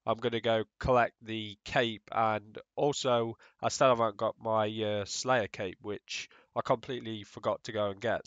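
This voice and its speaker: Young male gamer, stuffed-up nasal voice from a cold, smooth tone, dry and slightly dull recording, casual rambling voice-over. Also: medium pitch, a bit bright, no echo. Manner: rambling, many ums and restarts, swears casually. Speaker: gaming YouTuber. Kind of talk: casual gameplay commentary.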